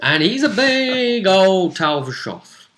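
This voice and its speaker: A man's voice: sits high, sing-song, slow, a bit bright. A British man speaking as game master, casually recapping a tabletop role-playing session and dropping teasing hints.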